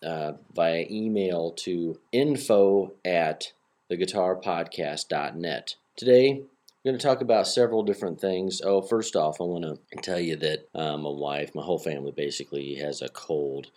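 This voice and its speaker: A man's voice: low voice, moderate pace, darkish tone. A man hosting a solo hobby podcast in a casual, rambling way, with many fillers and restarts, a little nasal from a cold, recorded on a laptop's built-in microphone. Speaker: podcast host.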